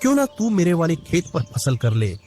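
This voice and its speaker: A man's voice: a little high, wildly swooping pitch, brisk. Cartoon farmer, poor and anxious, man voicing it, music bed. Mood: scheming. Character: poor greedy young farmer Ramu, pleading.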